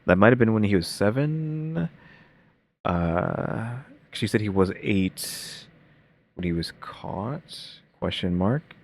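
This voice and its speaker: A man's voice: on the low side, animated, a little hiss or hum. A man casually commenting on a video he is reacting to, rambling with many fillers and thinking aloud. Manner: rambling, many fillers, pace jumps between slow and rushed.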